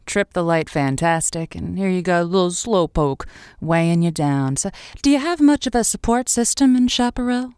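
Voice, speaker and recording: A woman's voice: fairly low pitch, animated, moderate pace, darkish tone, clear, resonant tone, dry recording, clean studio sound, natural voice. A woman playing a radio advice host, wry and frank, with hesitant reassurance in a call-in drama. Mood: reassuring.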